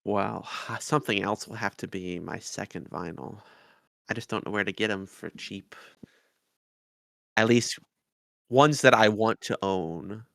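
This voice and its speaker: A man's voice: lowish voice, measured pace, creaky, long pauses, a bit bright, dry recording, studio quality. A man co-hosting a review podcast in a casual, conversational style. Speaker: podcast host.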